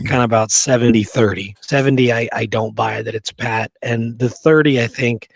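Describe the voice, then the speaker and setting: A man's voice: medium pitch, fairly even intonation, moderate pace, vocal fry, no room sound. Casual man chatting history, dry close sound. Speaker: co-host of a history show. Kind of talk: casual chat about Old West history.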